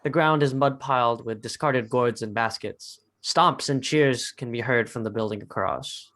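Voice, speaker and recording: A man's voice: mid-range voice, moderate pace, a bit bright. Man narrating screenplay stage directions. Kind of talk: scripted narration, dramatic table read.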